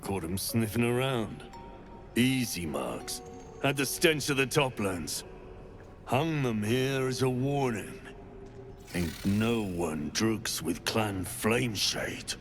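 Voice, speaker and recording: A man's voice: fairly low pitch, expressive, slow pace, faint music behind. A man playing a boastful, menacing dwarf spider keeper in a video game. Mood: menacing, gloating.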